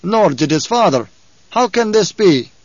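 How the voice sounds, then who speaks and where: A man's voice: sits high, big pitch swings, dry recording, dull audio, pitched above the performer's own voice, full character voice. A man playing a commanding, stern desert chieftain. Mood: stern, authoritative. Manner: formal, measured, authoritative. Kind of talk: audiobook character acting.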